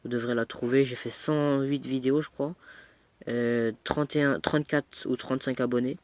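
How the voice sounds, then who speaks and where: A man's voice: medium pitch, fairly even intonation, moderate pace, darkish tone, no echo. A young man with a cold, casually commentating his own mobile game match, chatty and self-mocking, muttering as he plays. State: stuffy with a cold.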